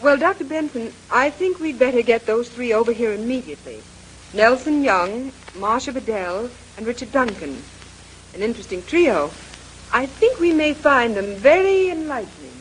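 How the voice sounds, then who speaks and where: An actress playing a brisk, composed lady detective in a 1930s radio mystery, polite and probing as she takes charge. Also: fairly high pitch, animated, natural voice. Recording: noisy old radio drama recording.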